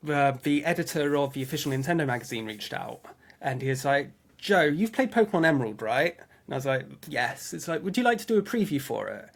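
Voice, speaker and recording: A man telling personal anecdotes in a casual interview, chatty, often acting out his own remarks and restarting sentences. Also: mid-range voice. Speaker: interview guest, fan website webmaster.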